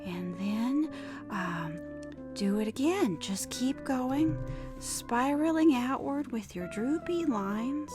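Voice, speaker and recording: A woman playing an awkward, wistful drawing teacher on a macabre comedy podcast, speaking in a halting, deliberate way. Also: sits high, lively intonation. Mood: halting, patient. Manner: halting, deliberate, hesitant instructor delivery. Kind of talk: scripted horror-comedy podcast monologue, mock drawing lesson. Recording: music behind the voice.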